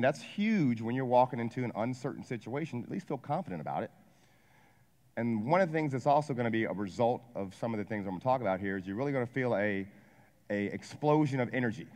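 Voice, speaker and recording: Man in his forties, energetic seminar speaker. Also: medium pitch. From Ohio, USA. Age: 40s. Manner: energetic, asks the crowd questions, jokes. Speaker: wealth advisor and coach giving a seminar talk. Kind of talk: motivational seminar talk to a live audience. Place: large hall with a live audience. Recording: long hall reverb.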